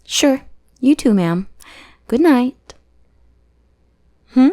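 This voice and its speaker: A woman's voice: sits high, lively intonation, very slow, dark tone, exaggerated voice. A young woman playing a teasing, possessive goth bully who is secretly smitten, in an ASMR roleplay. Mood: sweetly polite. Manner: playful, taunting, drops into breathy whispers.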